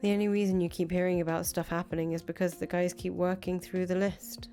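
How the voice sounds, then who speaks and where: A woman's voice: on the low side, flat delivery, fast, dark tone, close to an everyday voice. A woman voicing a brash, blunt, foul-mouthed revolutionary leader in a visual novel, talking casually. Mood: defensive. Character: brash, foul-mouthed revolutionary leader, volatile but attached to her friend. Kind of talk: visual novel dialogue reading. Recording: music behind the voice. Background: music.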